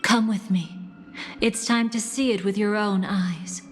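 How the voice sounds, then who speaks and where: Game seductress: woman playing mysterious, sly woman, slow breathy teasing, cool poise, over music. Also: medium pitch, fairly even intonation, lightly acted. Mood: enigmatic, alluring. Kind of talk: video game character dialogue.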